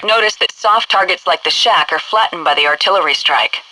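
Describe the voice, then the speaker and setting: An actress voicing a stern, brisk military headquarters instructor in a video game, giving clipped, commanding tutorial orders over a noisy radio-like transmission. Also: female voice, fairly low pitch, bright, forceful tone. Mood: brisk, instructive.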